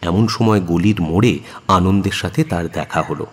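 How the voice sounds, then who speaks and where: Male audio-story narrator: low, husky, expressive dramatic reading, reverberant sound. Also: moderate pace.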